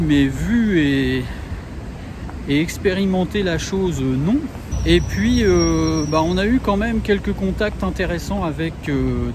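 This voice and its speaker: Middle-aged male vlogger, lighter high-ish pitch, informal delivery, noisy outdoor setting. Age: middle-aged, about 50s. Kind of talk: casual vlog chat to camera. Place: outdoors in a busy mountain village car park and show ground. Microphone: handheld camera at arm's length. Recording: noisy outdoor recording with vehicle sounds.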